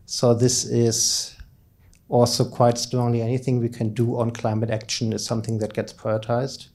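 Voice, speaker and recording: A man's voice: mid-range voice, monotone, moderate pace, darkish tone. A middle-aged man giving a keynote talk in an informal, explanatory manner, speaking into a handheld microphone in a conference room. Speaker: keynote speaker, academic researcher. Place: conference lecture room, indoors, at a lectern.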